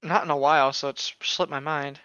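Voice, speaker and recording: A man's voice: fairly high pitch, fairly even intonation, brisk, bright, forceful tone, clean tone, no echo. A man co-hosting a comedic podcast, chatting and reading aloud in a joking, profane way through a lo-fi microphone. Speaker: podcast co-host. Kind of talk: casual comedic chat while reading horror stories aloud. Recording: dull lo-fi microphone sound.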